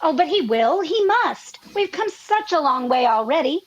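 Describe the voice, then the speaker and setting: A woman's voice: sits high, big pitch swings, normal speed, dull audio. A woman acting an earnest, innocent, tender-hearted young girl with gushing, girlish delivery in a parody radio play. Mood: encouraging.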